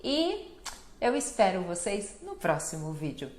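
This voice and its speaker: Slow, careful Brazilian woman teacher. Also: medium pitch, echoey room. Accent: Brazilian Portuguese. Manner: slow, careful explanations with frequent "né?" and lively greeting examples. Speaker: Portuguese teacher. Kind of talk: teaching a language lesson to camera.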